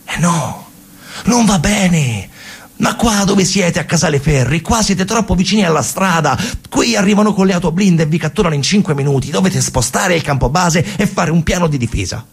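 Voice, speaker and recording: A man playing a brisk, scolding soldier who gives emphatic, colloquial orders in a stage monologue. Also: sits high, expressive, creaky, breathy edge, pitched above the performer's own voice, heavily acted. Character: brisk, scolding male soldier advising young partisans.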